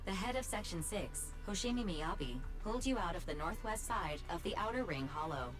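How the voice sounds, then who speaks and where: A woman voicing a polite, precise artificial intelligence assistant in a game, formal, measured and clinical. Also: mid-range voice, animated, over music, natural voice. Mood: calm, informative. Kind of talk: scripted game voice acting.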